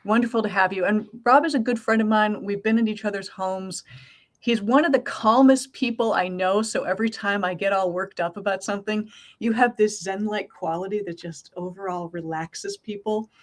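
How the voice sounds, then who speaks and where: A woman's voice: medium pitch, normal speed. Friendly woman hosting an interview. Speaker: host. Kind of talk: podcast interview.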